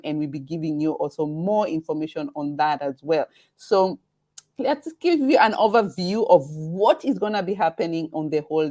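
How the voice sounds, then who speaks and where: A Kenyan woman hosts a live online stream, greeting viewers and explaining an event. Her voice sits a little low, and she speaks in a halting way, often restarting and repeating words as she gathers her thoughts. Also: darkish tone. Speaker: webinar host.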